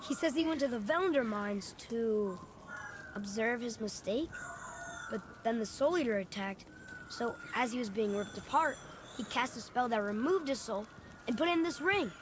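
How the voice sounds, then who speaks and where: A man's voice: extremely high pitch, expressive, normal speed. A young male actor playing an earnest, curious, kind-hearted boy in a video game, plainspoken. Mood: excited. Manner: plainspoken, inquisitive. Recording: ambient engine-like noise.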